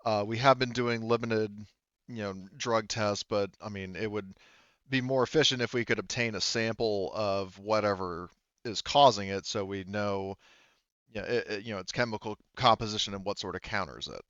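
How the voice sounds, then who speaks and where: Male game master, smooth and on the low side, halting improvised explanations, slightly muffled recording. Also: a bit bright, dry recording. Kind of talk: improvised tabletop roleplay narration.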